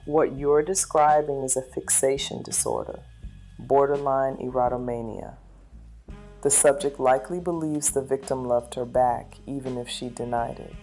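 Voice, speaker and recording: An actress playing a measured criminal profiler in an audio drama, speaking in an analytical, matter-of-fact way. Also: female voice, extremely low pitch, animated, dark tone, faint music behind. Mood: clinical, grave.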